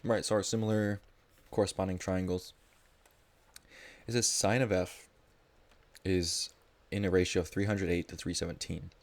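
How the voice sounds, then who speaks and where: A man's voice: low-pitched, little pitch movement, moderate pace, no echo. A man tutoring math live in a casual, informal way, thinking aloud as he explains.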